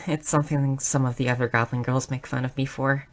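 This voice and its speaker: A woman voicing a shy, nerdy, curious young goblin girl in a roleplay audio drama, speaking softly and earnestly with small stammers. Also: very deep voice, fairly even intonation, a little fast. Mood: bashful, touched. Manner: soft, hesitant, stammering, earnest.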